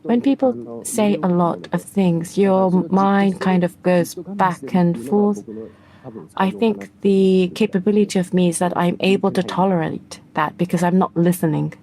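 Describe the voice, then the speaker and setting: Dark, mellow woman interpreting live. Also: lowish voice, fairly even intonation, measured pace, vocal fry, low-quality recording.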